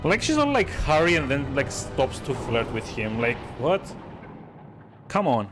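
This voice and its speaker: A man's voice: medium pitch, big pitch swings, measured pace, music in the background. A man giving casual live commentary as a game streamer, with a sardonic, exasperated manner.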